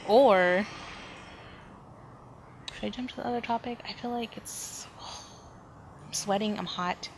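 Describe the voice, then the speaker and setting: A woman's voice: medium pitch, unhurried, pauses often. A woman hosting a solo podcast, talking casually and informally, rambling as she thinks aloud. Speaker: podcast host. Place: indoors at home, near street noise. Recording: background noise, ambient room sound.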